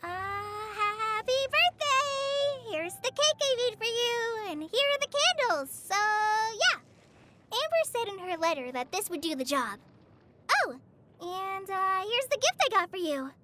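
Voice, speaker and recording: A woman's voice: very high voice, big pitch swings, measured pace, full character voice. An actress playing a shy, earnest teenage girl forest ranger trainee in a video game, hesitant and easily flustered. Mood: eager, bright. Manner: hesitant, stammering, apologetic. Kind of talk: video game character voice lines. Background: background music.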